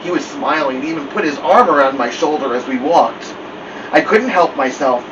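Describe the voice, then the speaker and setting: A man reading a storybook aloud as a story-time host, with expressive narration. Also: on the high side, moderate pace. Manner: expressive read-aloud delivery. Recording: muffled lo-fi, distant mic, loud hiss.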